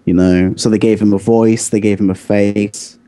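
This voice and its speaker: A man's voice: on the low side, little pitch movement, normal speed, dark tone, clean tone. A man co-hosting a podcast, explaining his points insistently in a casual debate. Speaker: podcast co-host.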